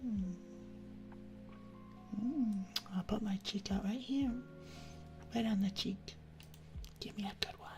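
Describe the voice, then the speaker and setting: A woman hosting an ASMR live stream, chatting casually and affectionately to viewers in a soft, breathy voice that often drops into a whisper. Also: mid-range voice, slow, pauses often, music in the background. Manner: affectionate, intimate, uses pet names.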